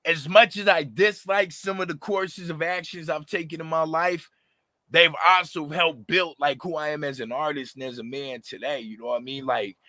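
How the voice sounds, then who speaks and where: A raspy-voiced man in his thirties, a rapper talking animatedly and passionately in a casual interview, full of slang and fillers. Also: a little high, steady tone, moderate pace, pushed and bright, no echo, clean studio sound.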